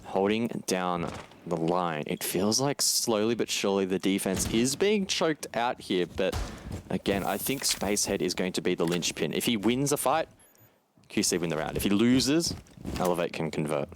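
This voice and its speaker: Deep voiced guy casting esports, breaking down the play, gunfire noise from the game behind him. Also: sing-song, normal speed. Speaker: esports commentator. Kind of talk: live analytical esports commentary. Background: video game gunfire and clicks.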